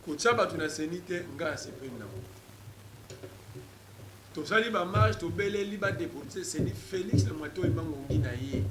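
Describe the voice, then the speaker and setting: A man's voice: on the high side, expressive, normal speed, a loud crowd. A man speaking as a political talk show guest, emphatic and repetitive, switching between French and Lingala. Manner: emphatic, mixes French and Lingala, repeats names insistently. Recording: echoey room with loud background noise.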